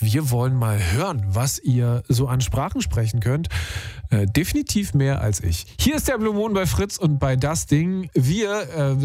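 A man's voice: medium pitch, warm tone. A man hosting a radio call-in show, chatty and upbeat, using youth slang. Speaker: radio host. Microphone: studio microphone.

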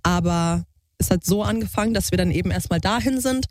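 A young woman co-hosting a podcast in casual chat, her voice a bit hoarse and lower than usual. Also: brisk, darkish tone, no echo, clean studio sound. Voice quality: slightly husky, lowered voice. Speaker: podcast co-host.

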